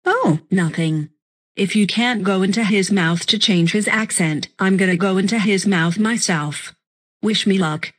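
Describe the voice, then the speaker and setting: A woman's voice: mid-range voice, a little slow, husky. A woman playing a bossy, exasperated little character in a scripted comedy skit, talking in a demanding way.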